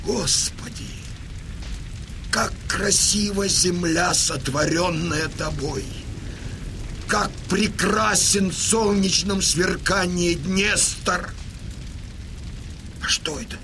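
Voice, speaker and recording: An actor playing a proud, defiant old Cossack chieftain in a radio drama, impassioned and declamatory. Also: male voice, sits high, noisy background. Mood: awed, watchful. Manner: declamatory, impassioned, drawn-out delivery. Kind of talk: Russian radio drama acting. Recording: music behind the voice.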